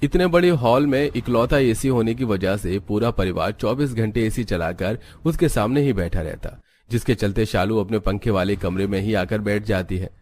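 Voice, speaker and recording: Low-voiced man narrating a story. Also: normal speed, a little mellow, a little hiss or hum. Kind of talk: scripted cartoon story narration.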